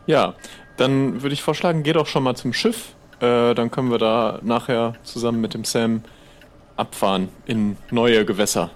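A man's voice: mid-range voice, normal speed, close to an everyday voice. A man playing an easygoing pirate trader in an improvised audio adventure, talking in a casual, persuasive, conversational way. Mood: bargaining.

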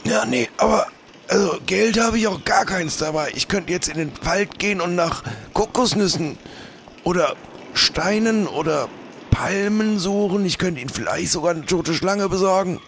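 A man's voice: sits high, normal speed, creaky, a bit bright, a little hiss or hum, voice pushed up. A man voicing a timid, simple character with a hesitant, naive manner in an improvised audio play.

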